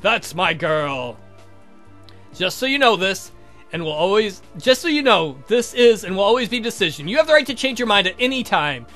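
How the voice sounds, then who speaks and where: Man playing a courtly, animated vampire count. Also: high voice, normal speed, faint music behind. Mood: solemn. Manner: formal, gallant, solicitous. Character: courtly adult male vampire count, earnest, doting suitor. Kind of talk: voiced visual novel dialogue.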